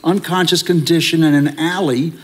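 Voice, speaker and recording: A man's voice: fairly high pitch, steady tone, normal speed, close to an everyday voice, low-quality recording. A man playing a nervous young husband who stammers and protests in an old-time radio drama. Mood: flustered. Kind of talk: 1940s radio acting.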